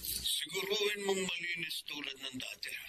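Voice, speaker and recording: A man's voice: high voice, normal speed, natural voice. A Filipino man playing a stern crime syndicate boss who gives orders in a radio-style drama. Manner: stern, commanding. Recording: radio drama with clear background noise.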